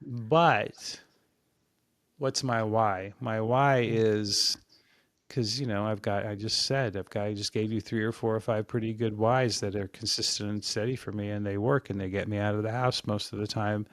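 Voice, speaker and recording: A man in his sixties, a podcast guest, talking in a relaxed, rambling way about his fitness habits, often restarting his sentences. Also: lowish voice, moderate pace, no echo, studio quality. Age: 60s. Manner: rambling and reflective, with frequent restarts. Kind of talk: casual interview chat.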